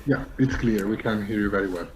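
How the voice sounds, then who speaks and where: A man's voice: lowish voice, fairly quick, darkish tone, slight background noise, low-quality recording. A man moderating an online webinar and handing over to the next questioner, with many restarts, over a video call. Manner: hesitant, with repeated restarts.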